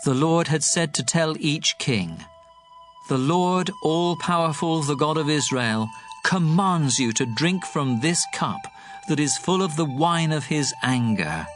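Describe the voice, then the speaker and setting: The prophet Jeremiah in a scripture audio drama, grave and earnest, as a man plays him. His voice sits slightly high, and he delivers his warnings in a measured, deliberate way over faint music. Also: expressive. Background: soft music.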